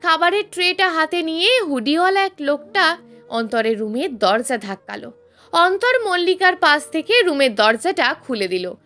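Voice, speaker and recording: A woman's voice: extremely high pitch, lively intonation, normal speed, pushed and bright. A woman reading a scripted story aloud as a dramatic narration. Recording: music behind the voice. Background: background music.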